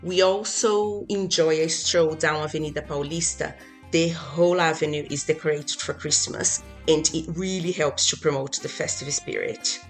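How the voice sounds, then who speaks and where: A woman with a strikingly low voice, from Brazil and a native Portuguese speaker. She speaks as a podcast guest in an even, read-aloud way. Faint music plays underneath her. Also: moderate pace. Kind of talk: scripted podcast conversation.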